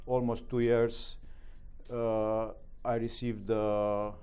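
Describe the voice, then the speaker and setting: A middle-aged man with a Romanian accent, speaking broken English as an interview guest, halting with many fillers. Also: fairly low pitch, level tone, warm tone, clean tone, faint music behind. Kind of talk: interview answers.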